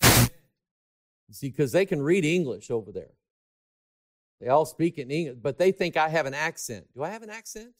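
A man preaching a sermon, swinging from shouted emphasis to hushed asides and stretching key words to drive them home. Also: a little high, lively intonation, normal speed, pauses often.

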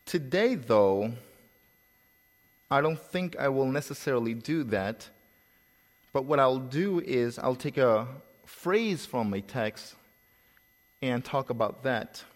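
Preacher with slight Salvadoran accent, echoing hall. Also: male voice, mid-range voice, lively intonation. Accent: slight Salvadoran Spanish. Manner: conversational storytelling, with pauses and repeated words. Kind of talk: sermon.

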